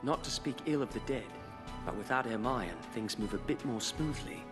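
An actor playing a courteous young nobleman in a fantasy video game, formal and measured in his delivery. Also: mid-range voice, over music, lightly acted. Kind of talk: video game cutscene acting.

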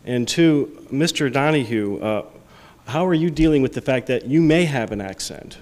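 A man at a medium pitch moderates a press luncheon, reading questions aloud with many halting pauses. Light background noise sits under his voice. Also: animated. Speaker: event moderator. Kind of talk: moderating questions and presenting gifts. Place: press club event hall.

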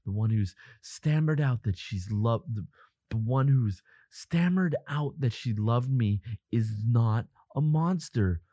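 A man plays a devoted boyfriend in an intimate roleplay drama. His voice is mellow and breathy, close and dry. He speaks slowly and haltingly, with tender, protective earnestness. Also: medium pitch, no room sound. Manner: halting, hushed, earnest reassurance. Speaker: audio roleplay voice actor.

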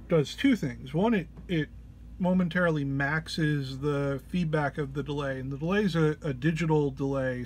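Slow, halting man, slightly under the weather. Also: fairly high pitch. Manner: hesitant, halting, searching for words. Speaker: musician demonstrating an effects pedal patch. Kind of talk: informal gear walk-through. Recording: clear background noise.

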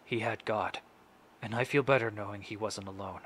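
A young man acting a grieving, resolute father in a solemn, deliberate stage monologue. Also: lowish voice, fairly even intonation, a bit bright, natural voice. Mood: tender, mournful. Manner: solemn, deliberate, measured phrasing. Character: grieving, resolute middle-aged father addressing his son's killer. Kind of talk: dramatic stage monologue recitation. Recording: noisy amateur home recording.

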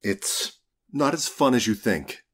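A man's voice: mid-range voice, very animated, takes it slowly, a bit bright, slightly rough, full character voice. A man voicing a disgruntled, sardonic male office outlaw in an audiobook, grumbling and exasperated. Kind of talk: audiobook character dialogue.

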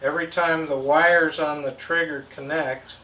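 A man's voice: a little high, fairly even intonation, moderate pace, pushed and bright. A middle-aged man giving a casual, step-by-step instructional demo in plain language. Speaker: product demonstrator. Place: indoor tabletop demo setup with backdrop. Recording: echoey, distant mic with background noise.

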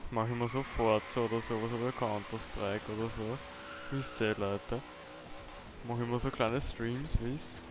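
A man's voice: mid-range voice, steady tone, moderate pace, background noise. A man with a German dialect chatting casually as a guest streamer, laid-back and chuckling. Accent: dialect-tinged German. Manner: laid-back, chuckling, spells out his name. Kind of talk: casual gaming chat.

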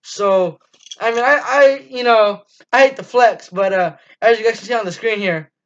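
A man's voice: sits high, normal speed, fairly bright tone. Teen boy rambling on a lo-fi webcam. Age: teens. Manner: rambling and enthusiastic, lots of ums and restarts. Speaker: fan channel host. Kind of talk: casual postgame review monologue. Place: small dim room indoors. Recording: dull lo-fi webcam audio.

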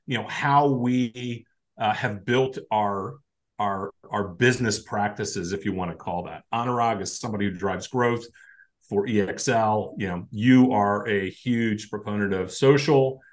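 A man's voice: fairly low pitch, a little slow. An older man hosting a casual video call chat, upbeat, leaning hard on the words as he reads something out. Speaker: podcast host. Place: home room, indoors.

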